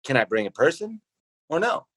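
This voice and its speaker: A man speaking as a podcast guest, thinking aloud deliberately in a conversational interview. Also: on the high side, very animated, sharp tone, no room sound, clean studio sound. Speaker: podcast guest, founder of a role-playing podcast.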